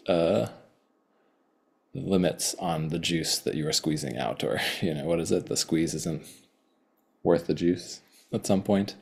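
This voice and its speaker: A man reading his own poems slowly and deliberately, with hesitant, rambling remarks between them. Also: sits low, darkish tone, husky. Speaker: poet. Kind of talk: poetry reading with chatty asides.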